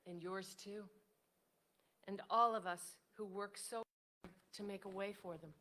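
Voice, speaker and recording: Elderly female suffragist, played by an actress: modest, lonely, wistful, earnest stage reading at a medium pitch. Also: fairly even intonation, a little slow, sharp tone. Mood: hopeful, earnest.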